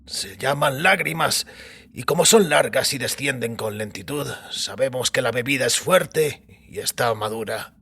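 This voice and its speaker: Elderly priest, weary, ceremonious, raspy, gravelly, slow instructive manner, audio fiction. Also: male voice, mid-range voice, lively intonation, bright, forceful tone, pitched above the performer's own voice, full character voice. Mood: savoring, instructive. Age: older. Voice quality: raspy, creaky. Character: old priest, weary, ceremonious connoisseur of drink.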